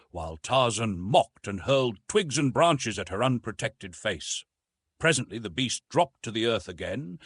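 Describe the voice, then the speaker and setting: Husky, animated man narrating an adventure story. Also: medium pitch, no room sound. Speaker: audiobook narrator.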